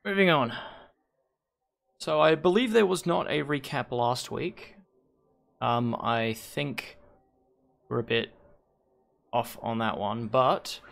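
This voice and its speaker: A hoarse man hosting a league stream on a headset mic, rambling and correcting himself as he thinks aloud. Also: medium pitch, animated, slow, pauses often, a bit bright. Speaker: league host and shoutcaster. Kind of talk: casual livestream commentary.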